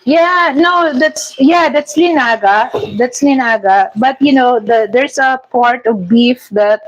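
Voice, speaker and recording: A Filipino woman chatting casually with viewers in halting English, full of fillers and restarts. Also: high voice, dull audio. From Philippines. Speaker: vlogger. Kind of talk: casual live chat with viewers. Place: home kitchen.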